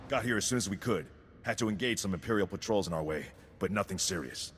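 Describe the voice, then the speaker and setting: An actor playing a stern, tough military sergeant in a video game, gruff, commanding and clipped. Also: male voice, deep voice, quick pace, fairly bright tone. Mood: businesslike. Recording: hall reverb, music behind.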